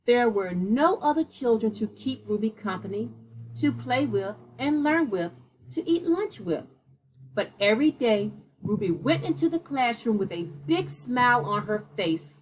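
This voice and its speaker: A woman with a slightly husky voice reading a children's picture book aloud in a measured, deliberate way. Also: a little high, lively intonation, slight background noise, low-quality recording. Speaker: read-aloud storyteller.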